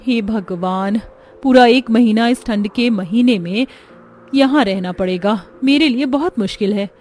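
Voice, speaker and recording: Woman acting an anxious young wife, highish voice. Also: unhurried, darkish tone. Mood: dismayed. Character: anxious young daughter-in-law dreading cold village life.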